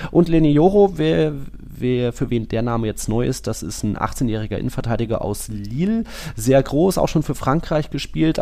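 A man's voice: medium pitch, creaky, mellow. A man co-hosting a casual football podcast, rambling through his analysis with frequent fillers and restarts. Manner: rambling, self-correcting analysis with many fillers. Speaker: podcast co-host.

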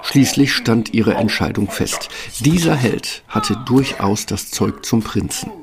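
Gravelly male fairy-tale narrator. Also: lowish voice, lively intonation, slow pace.

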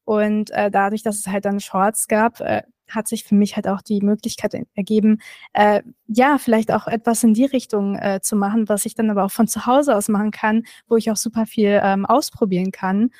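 A woman's voice: medium pitch, little pitch movement, normal speed, darkish tone, no echo. Young woman, friendly, hesitant, filler-heavy, dry close recording. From Berlin, Germany. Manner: friendly, hesitant, lots of "ähm". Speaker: guest, content creator. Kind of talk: casual interview answers. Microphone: remote recording from another room.

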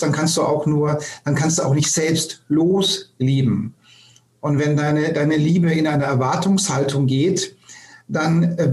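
Ernster, erklärender Mann, leicht hohe Stimme. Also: male voice, fairly high pitch, darkish tone, echoey room. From Germany. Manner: explanatory, earnest, with frequent restarts. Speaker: co-host. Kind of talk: spiritual conversation.

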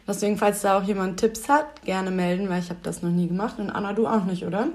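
A woman in her twenties, a student guesting on a podcast, chatting playfully with a slightly rough voice. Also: mid-range voice, fast talker, darkish tone. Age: 20s. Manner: playful, teasing. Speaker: podcast guest, host's sister. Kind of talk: casual chat.

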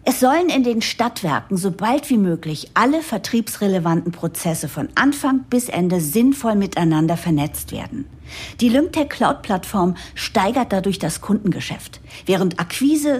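An actress playing a determined new managing director who narrates an audio drama, expressive, with a breathy, husky voice. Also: female voice, mid-range voice, normal speed. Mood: eager, persuasive. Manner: expressive, explanatory. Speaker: narrator and lead character. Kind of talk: German audio drama, first-person narration and dialogue.

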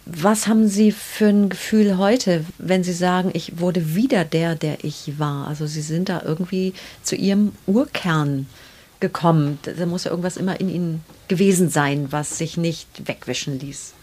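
Low-voiced, slightly husky woman interviewer. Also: normal speed, darkish tone. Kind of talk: literary interview conversation. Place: indoors at the guest's home.

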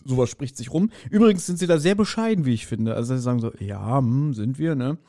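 A man's voice: medium pitch, lively intonation, fast talker, dark tone. A man co-hosting a podcast in casual chat, speculating in a playfully self-assured, teasing way. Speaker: podcast co-host. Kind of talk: casual chat, speculating about an audio drama.

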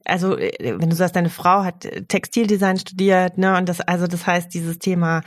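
Curious podcast host, mid-pitched. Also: flat delivery, moderate pace. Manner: curious, asks follow-up questions. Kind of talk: casual interview.

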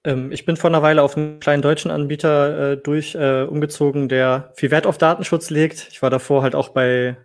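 A man's voice: a little high, flat delivery, brisk, few pauses. A man on a tech podcast, hesitantly describing his choice of email provider. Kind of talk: casual tech podcast chat.

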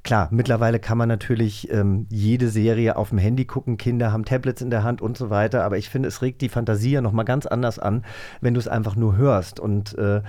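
A man's voice: on the low side, steady tone, brisk, warm tone. A man chatting informally and enthusiastically as co-host of a podcast. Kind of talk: casual podcast chat.

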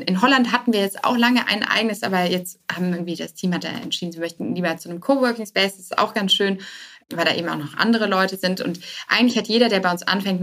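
A woman's voice: medium pitch, a bit bright. A woman speaking as a startup founder and podcast guest, answering casually and anecdotally with English business terms mixed in.

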